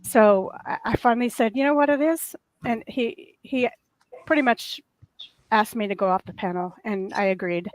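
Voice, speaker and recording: A woman on a casual panel chat with a soft voice that turns crackly and raw, telling a long, earnest, indignant story. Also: on the high side, moderate pace.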